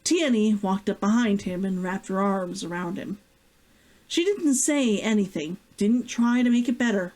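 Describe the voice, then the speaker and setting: A woman reading a novel aloud as its author, in an expressive audiobook-style narration. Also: medium pitch, unhurried.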